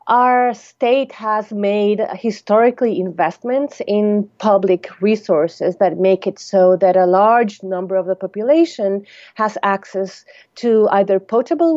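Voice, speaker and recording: A woman from Costa Rica, an anthropologist answering interview questions in a thoughtful, measured way with many fillers and restarts. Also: mid-range voice, dark tone, dry recording, dull audio. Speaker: anthropologist guest.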